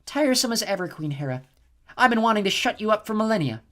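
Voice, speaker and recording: A cold, scheming snow goddess with a quiet, purring voice, as a man acts her out. She gloats over her enemies in a storybook reading, pitched higher than the narrator's own voice. Her words are gentle in sound and icy in intent. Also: sing-song, fast talker. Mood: icy gloating.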